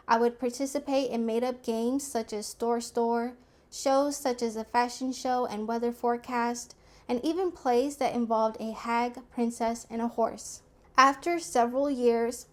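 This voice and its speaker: A young adult woman from the United States, born in Guyana, giving a prepared personal speech in a measured, deliberate storytelling manner. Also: on the high side, a little mellow. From United States (Georgia), born in Guyana. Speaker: public speaker.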